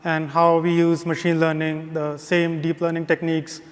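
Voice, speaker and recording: A man giving a conference talk as presenter, steady and measured. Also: fairly high pitch. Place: large conference hall. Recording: long reverb of a big hall.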